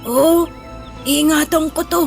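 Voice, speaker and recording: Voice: high voice, steady tone, takes it slowly, creaky, heavily acted. A performer acting a clingy, sad young boy in a Filipino radio drama, plaintive and childlike. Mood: sad, clinging. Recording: music bed behind the voice.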